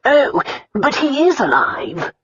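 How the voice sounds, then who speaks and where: A male solicitor played by a woman reader in a storybook drama, formal and measured. He lays things out in a matter-of-fact manner. The sound is slightly dull. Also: sits high, sing-song, fairly bright tone, dry recording, voice pushed up, full character voice. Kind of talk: audiobook character acting.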